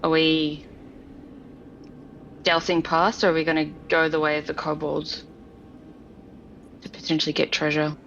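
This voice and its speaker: A woman's voice: sits low, monotone, a little slow, long pauses, fairly bright tone, muffled recording, a little hiss or hum. A woman chatting casually as a player in a tabletop roleplaying game.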